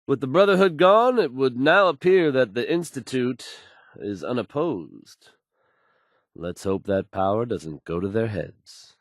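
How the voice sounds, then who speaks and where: A man's voice: mid-range voice, lively intonation, unhurried, no room sound, clean studio sound. A man playing an assured, folksy radio DJ in a video game, delivering news bulletins to listeners with fluent, polished ease. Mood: grave, measured.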